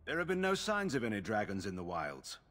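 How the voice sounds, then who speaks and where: Stern middle-aged fantasy general, raspy husky voice, swings up and down, formal and cutting, game cutscene. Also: male voice, fairly low pitch, wildly swooping pitch, fairly quick, fairly bright tone, exaggerated voice. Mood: cold, measured. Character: stern, scornful veteran general, proud and distrustful. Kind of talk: dramatic fantasy video game acting.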